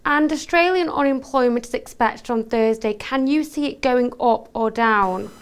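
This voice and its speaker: Female news interviewer, smooth and fairly high voice, formal scripted questions. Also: moderate pace. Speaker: TV journalist, interviewer.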